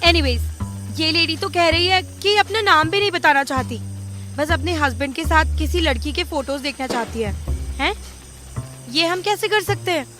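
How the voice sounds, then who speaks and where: A woman's voice: high-pitched, normal speed, bright, forceful tone. A woman playing an outspoken young business partner in an audio drama, casual and indignant, mixing in English words. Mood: questioning, sulky. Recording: music under the voice.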